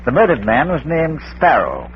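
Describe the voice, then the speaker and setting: A man's voice: a little high, huge pitch swings, moderate pace. A man playing a genteel, unflappable schoolmaster detective with a precise, hesitant, dryly formal manner, in 1940s radio style. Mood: suave explanation. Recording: muffled, lightly noisy old radio recording.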